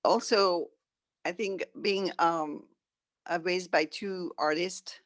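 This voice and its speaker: A middle-aged woman from France with a French accent, reflecting conversationally as an interview guest in halting, thoughtful phrases. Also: on the low side, fairly even intonation, a bit bright, no echo, clean studio sound.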